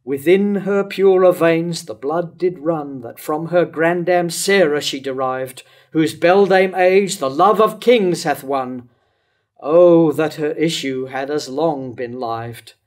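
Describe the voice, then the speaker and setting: Grief-stricken tyrant king, read by an older man, high male voice, slow declamatory verse, remorseful, stage tragedy. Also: darkish tone. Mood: remorseful despair. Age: older, around 70. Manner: declamatory, measured. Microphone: lectern microphone.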